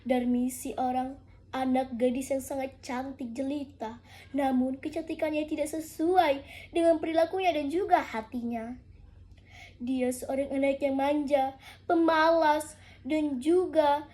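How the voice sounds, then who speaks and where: Young female contest storyteller, very high voice, deliberate dramatic folktale narration. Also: dark tone.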